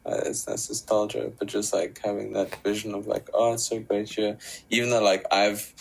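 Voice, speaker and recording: A man's voice: on the low side, little pitch movement, normal speed, gravelly, slight background noise, low-quality recording. A man chatting in a laid-back, reflective way, often calling his friend bro. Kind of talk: casual chat.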